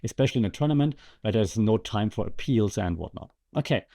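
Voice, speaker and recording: A man's voice: lowish voice, animated, fast talker, creaky, mellow. A man hosting a podcast with casual, conversational commentary and wry, sarcastic asides. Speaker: podcast host and media lecturer.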